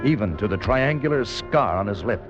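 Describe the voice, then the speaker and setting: Man acting a low-voiced agent, music behind. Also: animated, normal speed, close to an everyday voice. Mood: tense, wry. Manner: terse, hard-boiled. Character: cool, watchful male American undercover agent narrating. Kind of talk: 1950s radio drama narration and dialogue. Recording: dull old radio recording, loud music.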